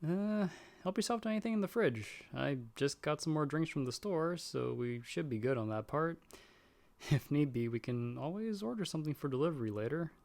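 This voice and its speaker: A man's voice: a little high, expressive, a little fast, creaky, darkish tone. A man playing a nervous, friendly young guy in an audio roleplay, talking in a casual, hesitant, self-deprecating way. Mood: friendly, flustered. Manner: chatty, hesitant, sheepish. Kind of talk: casual one-sided roleplay dialogue.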